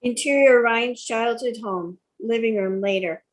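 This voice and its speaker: A woman's voice: fairly high pitch, measured pace, slight background noise. A woman reading screenplay stage directions as scripted narration at a table read.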